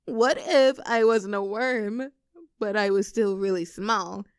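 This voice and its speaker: A woman playing a playful, clingy young girlfriend in an intimate roleplay audio, teasing and cooing in an animated, affectionate way. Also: mid-range voice, takes it slowly, clean tone, dry recording, clean studio sound. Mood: playful, amused. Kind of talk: intimate girlfriend roleplay audio, scripted one-sided conversation.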